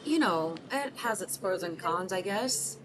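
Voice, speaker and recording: A woman in her thirties from Mexico City, acting a single mother who answers unheard questions haltingly, with many ums and restarts. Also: medium pitch, expressive, close to an everyday voice. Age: 30s. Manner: hesitant, filled with ums and restarts. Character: 38-year-old single mother, soft-spoken and self-conscious. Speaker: interviewee in a short film. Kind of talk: scripted film monologue, answering unheard questions. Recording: noticeable background hiss.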